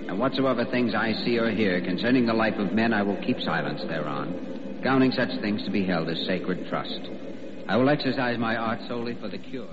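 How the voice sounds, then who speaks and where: A man's voice: on the low side, a little fast, very noisy, close to an everyday voice. Solemn, echoing oath-taking doctor played by a man. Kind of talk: old-time radio dramatic recitation. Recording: muffled, reverberant radio over loud music.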